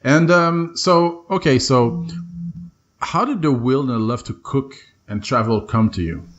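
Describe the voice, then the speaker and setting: A man's voice: medium pitch, a little slow, dull audio. A man hosting a podcast, chatting casually and rephrasing his questions as he feels his way through them. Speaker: podcast host. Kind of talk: casual interview.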